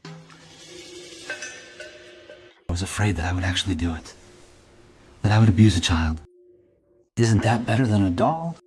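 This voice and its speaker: Creepy, fast-talking bookseller suspect. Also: male voice, sits low, lively intonation, darkish tone, soft background music. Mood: anguished confession. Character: creepy, self-justifying male bookseller confessing as a suspect. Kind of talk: crime audio drama acting.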